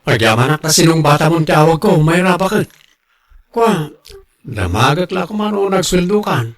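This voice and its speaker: A man's voice: a little high, animated, normal speed. A man with a northern Philippine accent playing a hesitant, debt-ridden husband in a radio drama. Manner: hesitant, pleading.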